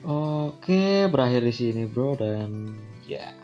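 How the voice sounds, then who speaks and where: Male Indonesian game streamer, informal narration and slangy reactions, slightly muffled recording with soft background music. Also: medium pitch, animated, slow pace. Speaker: visual novel let's-play streamer. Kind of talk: reading game dialogue aloud with casual side comments. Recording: dull lo-fi sound with music.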